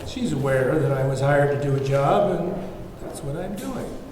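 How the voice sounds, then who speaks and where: A man playing a smug, self-righteous hired kidnapper with a condescending, measured delivery in a script table read. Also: medium pitch, lightly acted. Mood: coldly persuasive. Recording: very echoey, distant, faint noise.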